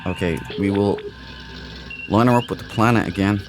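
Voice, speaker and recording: A man's voice: on the low side, normal speed. A man giving casual let's play commentary, explaining things in a chatty, informal, rambling way. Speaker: gaming video host. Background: game music.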